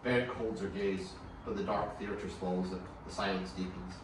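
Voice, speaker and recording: Very low-voiced man narrating, echoey. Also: steady tone, moderate pace. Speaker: narrator reading stage directions. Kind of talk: scripted screenplay table read. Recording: distant, echoey room with clear noise.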